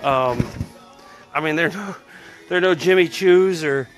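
A middle-aged man rambling excitably and swearing casually during a live phone stream outdoors at a storage unit. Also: on the high side, a little slow. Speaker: vlogger. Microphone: phone at arm's length.

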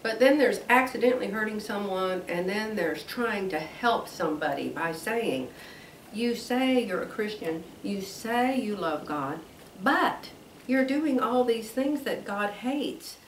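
Older woman, sixties, devotional host, slightly stuffy, unhurried, distant roomy sound. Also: mid-range voice, lively intonation, slight background noise. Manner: chatty, laughs often, drawn-out emphasis. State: stuffy with allergies, wheezy. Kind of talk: casual devotional talk. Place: room with a quilt backdrop. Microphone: camera at a distance, standing at a lectern.

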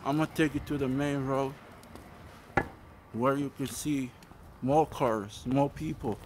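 A man vlogs as he walks down a street, talking slowly in short, halting bits. His voice sits at an average pitch, and the delivery is casual and unscripted. Faint traffic noise runs behind him outdoors. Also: steady tone. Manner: halting, speaks in short fragments. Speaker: vlogger giving a walking tour. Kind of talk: casual, unscripted walking commentary. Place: outdoors walking along a residential street.

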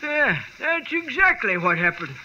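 An actor playing a bumbling, apologetic old rustic, stammering and folksy in 1940s radio style. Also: male voice, very high-pitched, very animated, measured pace, sharp tone, lo-fi sound, a little hiss or hum, full character voice. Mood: flustered, apologetic. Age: older.

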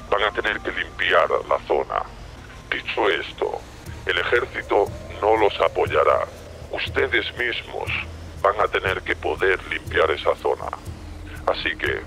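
A man's voice: sits low, pushed and bright, slight background noise, rough recording. A man delivering a formal, declamatory military briefing in a roleplay game.

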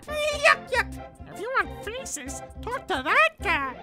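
A woman voicing a manic, pun-loving monster hawker with a creaky voice and showy salesman patter in a cartoon comic dub. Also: extremely high pitch, sing-song, slow, full character voice. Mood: jokey, needy. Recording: music behind the voice. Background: music.

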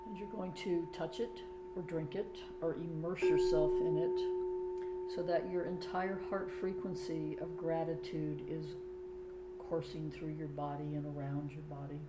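A woman guiding a meditation with gentle, unhurried instructions and long pauses. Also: deep voice, steady tone, over loud music. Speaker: guided meditation leader, sound healing practitioner. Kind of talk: guided meditation. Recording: roomy echo, loud singing bowl tones. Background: singing bowls and tuning fork tones.

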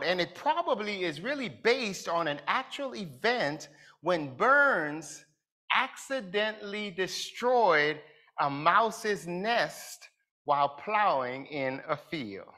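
A middle-aged male pastor preaches from a pulpit in a big church hall, picked up by a microphone at a little distance. His delivery is unhurried and drawn out, and his voice has a bright, ringing edge as he leans into key words. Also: high-pitched, animated. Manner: slow, deliberate delivery with rising emphasis. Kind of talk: sermon.

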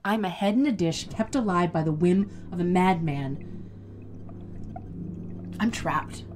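A woman's voice: fairly low pitch, unhurried, darkish tone, a little hiss or hum, close to an everyday voice. An actress playing a bitter, wry woman kept alive as a severed head in an audio drama, questioning and plaintive. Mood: bitter, anguished.

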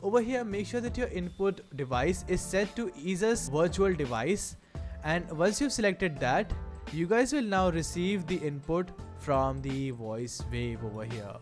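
A man with a fairly high voice narrates a software tutorial. He addresses viewers directly with promotional enthusiasm, over clearly audible background music. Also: animated, unhurried. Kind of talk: screen-recorded tutorial narration.

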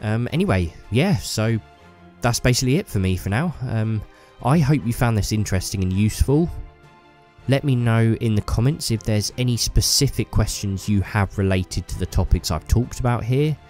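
Deep-voiced man with a stuffy cold. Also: animated, moderate pace, a little mellow, soft background music. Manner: enthusiastic, rambling with fillers. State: bunged up with a cold. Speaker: YouTuber. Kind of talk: casual hobby vlog talk.

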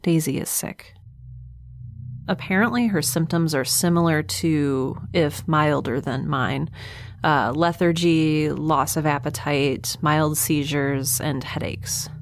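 A lone young woman stranded in space, as an actress plays her, keeps her log in a low-pitched voice. She sounds weary and hesitant, with dry, wry asides in an audio drama monologue. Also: slow, slight background noise. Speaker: narrator keeping a log.

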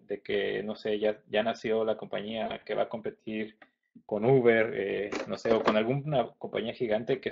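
Mexican man giving a halting webinar talk. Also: on the low side, vocal fry, a little mellow, breathy edge. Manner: hesitant, many fillers and restarts. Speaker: conference speaker. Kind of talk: webinar presentation. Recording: dull lo-fi webinar audio.

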